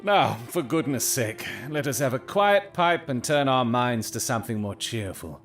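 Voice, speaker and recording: Male actor as coolly reasoning detective, commanding, lively swooping intonation, formal deliberate phrasing, audio drama, music underneath. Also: mid-range voice, natural voice. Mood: grave.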